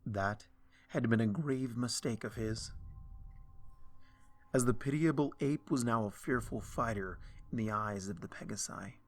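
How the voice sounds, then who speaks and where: Slow brooding male story reader. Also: mid-range voice. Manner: slow, hushed, brooding delivery. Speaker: fanfiction reader. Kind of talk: dramatic story reading. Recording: soft music underneath.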